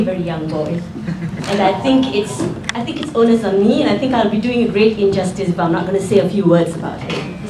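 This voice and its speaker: A middle-aged Singaporean woman speaks spontaneously from the audience in a fairly high voice. She repeats words for emphasis. She sounds distant, with clear background noise. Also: reverberant. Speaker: audience member.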